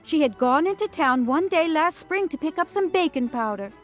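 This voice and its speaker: An actress playing a chatty, fussy country housekeeper and cook in an audio drama. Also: female voice, high-pitched, animated, soft background music. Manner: chatty, then indignant and hurried. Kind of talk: radio drama acting.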